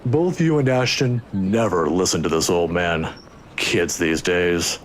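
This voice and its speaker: Woman putting on a low, kindly old professor. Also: measured pace, a little hiss or hum. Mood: teasing, friendly. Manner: avuncular, courteous, gently teasing. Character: kindly old male professor, warm and inquisitive.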